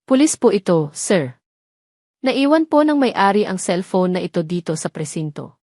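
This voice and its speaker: A woman's voice: medium pitch, expressive, moderate pace, dark tone, no echo, close to an everyday voice. A polite policeman read by a woman talks plainly and respectfully in an audio drama. The recording is dry, with no room sound. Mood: matter-of-fact. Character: polite male police officer, matter-of-fact.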